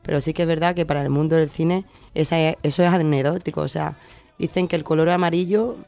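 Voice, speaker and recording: A woman's voice: low voice, steady tone, fast talker, vocal fry, warm tone. A woman from Cádiz with an Andalusian accent, chatting casually as an interview guest, effusive and often searching for her words. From Cádiz, southern Spain. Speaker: radio guest, short-film maker.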